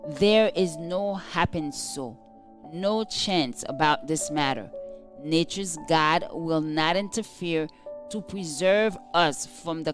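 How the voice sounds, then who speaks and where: A woman reading a scripted devotional in a measured, formal, earnest manner. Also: fairly low pitch. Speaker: devotional reader.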